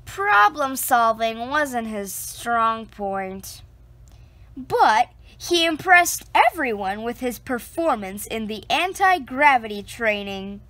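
A woman reading a children's picture book aloud, slow and expressive, close to the camera indoors. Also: no echo. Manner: slow, expressive storytelling with lively pitch.

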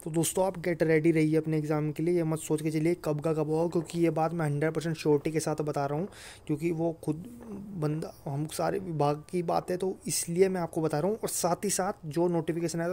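A man's voice: a little high, mellow. A man giving an informal explainer to viewers as a channel host, often checking in with listeners. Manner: repeats check-in phrases to listeners. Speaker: host of an exam news channel.

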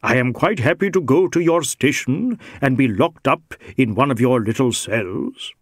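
A man's voice: mid-range voice, expressive, moderate pace, dry recording, studio quality, lightly acted. A man voicing a big, brawny Sikh rogue in an audiobook, sly and teasing, with wheedling mock deference. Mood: calm defiance. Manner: wheedling mock deference, Indian English phrasing. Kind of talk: audiobook character dialogue.

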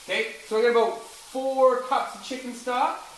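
A man's voice: very high-pitched, steady tone, normal speed. A man hosting a home cooking video in a kitchen, chatting through each step and talking to his toddler son, recorded from a camera some distance away. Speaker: home cooking show host. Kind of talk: casual cooking demonstration. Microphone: camera mic at a distance. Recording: very echoey kitchen with faint noise.

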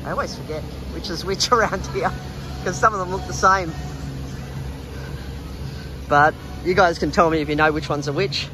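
A man's voice: a little high, moderate pace, pauses often, noisy background. A man with an Australian accent giving casual, chatty, rambling narration as a travel vlogger walking outdoors. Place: outdoors in an urban riverside park. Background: background music, outdoor city sounds.

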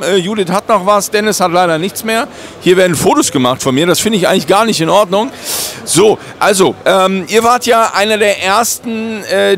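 A man's voice: high-pitched, a bit bright. A man vlogging at a trade fair stand, interviewing guests in a joking, self-mocking, rambling way.

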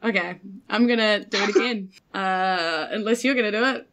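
A woman's voice: medium pitch, normal speed, a bit bright. A woman with a New Zealand accent, giggly and casual, narrating as a player in a tabletop game. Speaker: tabletop role-playing player. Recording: faint background noise.

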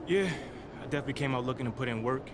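A man acting a brash young basketball star who talks quickly and casually, full of swagger. He uses easy slang, as in a sports game's post-game interview. Loud city noise runs behind him. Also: mid-range voice, natural voice. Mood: confident. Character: cocky young male pro basketball star. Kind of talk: video game cutscene acting.

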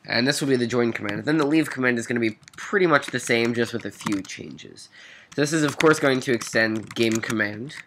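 A man's voice: mid-range voice, fairly quick. A man with a nasal, stuffed-up voice from a cold, giving an informal coding tutorial and thinking aloud as he goes. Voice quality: nasal, congested. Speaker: programming tutorial host. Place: at a computer, screen recording voice-over. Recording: noisy mic with keyboard clicks. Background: faint noise, typing and clicks.

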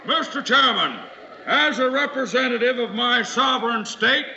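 A man's voice: extremely high pitch, normal speed, creaky, bright, forceful tone, lo-fi sound, exaggerated voice. An actor playing a formal congressman calling out in a noisy, echoing old-time radio crowd scene. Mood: loud, formal. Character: shouting congress delegates and formal presiding chairman.

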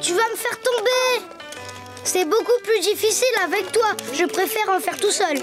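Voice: very high-pitched, normal speed. A performer voicing a cheerful, boastful little boy donkey in a children's cartoon, with playful, simple phrasing. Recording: music behind the voice.

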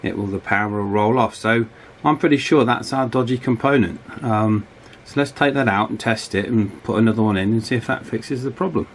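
Low-voiced man over clear background noise. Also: brisk, few pauses. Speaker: electronics repair hobbyist presenter. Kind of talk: casual hands-on repair demonstration. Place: small indoor office workshop. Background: table fan wind noise.

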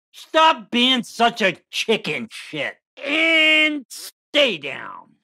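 A murderous living doll for a video game, taunting and aggressive. The voice is fairly high and swings widely in pitch, with a rough creak running through it. It is brash and mocking, with drawn-out, unhurried delivery. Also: female voice, sharp tone, full character voice.

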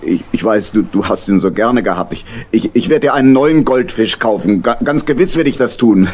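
A man playing a contrite older badger uncle in a children's audio play, earnest and halting. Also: lowish voice, animated. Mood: apologetic. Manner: halting, earnest, gentle pleading. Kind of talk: children's audio drama acting.

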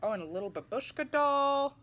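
Woman doing a kids read-aloud, bright voice, really animated and up and down. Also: high voice, brisk, slight background noise. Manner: animated, exclamatory, with audible gasps. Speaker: children's picture book reader. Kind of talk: read-aloud to young children, with asides. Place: small indoor room, seated in armchair.

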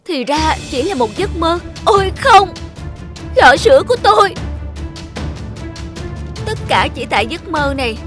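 Lazy young cartoon dreamer, played by a woman: excitable, fairly high voice, with music. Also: a little slow, long pauses. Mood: dismayed. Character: lazy daydreaming young milk seller lad. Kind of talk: children's cartoon voice acting.

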